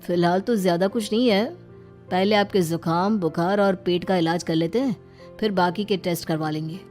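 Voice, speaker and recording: Casting: woman as businesslike doctor for cartoon story, lowish voice, matter-of-fact. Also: darkish tone, natural voice. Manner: brisk, practical. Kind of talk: cartoon moral story acting. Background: faint music.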